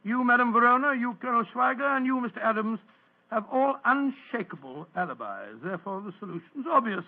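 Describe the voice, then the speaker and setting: An actor playing an earnest, fussy English doctor in an old radio drama, speaking formally and hesitantly with an English accent. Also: male voice, very high-pitched, animated, brisk. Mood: solemn. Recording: muffled narrowband old radio recording.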